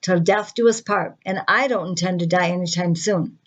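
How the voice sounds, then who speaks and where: A woman's voice: on the low side, moderate pace, highs cut off, close to an everyday voice. A woman playing an indignant, defensive, chatty woman who vents with sarcasm in a dramatic screenplay monologue. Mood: exasperated, defiant. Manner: sarcastic, exasperated, conversational venting.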